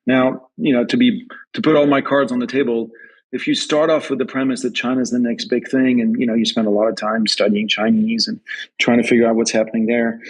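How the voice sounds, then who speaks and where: Frenchman w a light accent, kinda husky, mulls it over out loud in an interview. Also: mid-range voice, low-quality recording. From France. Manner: thoughtful, repeats words while thinking aloud. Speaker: guest investment strategist. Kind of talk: casual interview answers.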